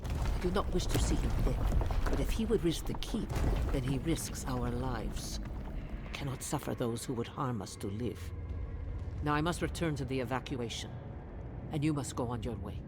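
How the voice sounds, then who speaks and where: An actress playing an anxious, devout leader of an endangered keep, earnest and formal, in a video game cutscene. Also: female voice, animated, normal speed. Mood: grave, resigned. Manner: earnest, urgent, emotive, formal. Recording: clear rumbling ambient noise behind.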